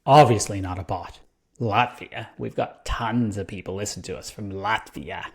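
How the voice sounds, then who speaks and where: Gravelly, husky-voiced man, playful. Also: fairly low pitch, moderate pace. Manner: playful, jokey. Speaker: podcast co-host. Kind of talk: casual podcast chat.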